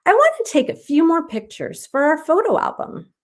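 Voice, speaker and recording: A woman voicing an excited, eager young girl from a children's story, cheerful and exclaiming. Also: sits high, normal speed, pitched above the performer's own voice.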